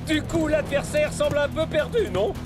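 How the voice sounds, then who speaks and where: Excitable high-pitched cartoon commentator. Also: male voice, moderate pace. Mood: excited. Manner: exclamatory, flustered. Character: excitable, theatrical male football commentator. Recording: loud music behind commentary.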